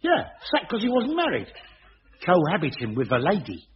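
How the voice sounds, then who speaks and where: An actor playing a chatty, chuckling busybody in a radio sitcom. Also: male voice, sits high, wildly swooping pitch, normal speed, slight background noise. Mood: gossipy. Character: gossipy staff member, cheerful busybody. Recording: muffled old radio recording.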